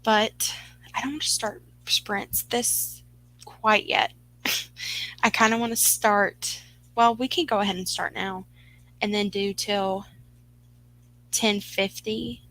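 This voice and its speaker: A woman's voice: medium pitch, fairly even intonation, takes it slowly, pauses often, bright, forceful tone, poor audio. A woman, chatting casually and encouragingly with viewers on a live stream, rambling with lots of fillers. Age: 20s. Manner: rambling, filler-heavy and upbeat. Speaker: livestream host.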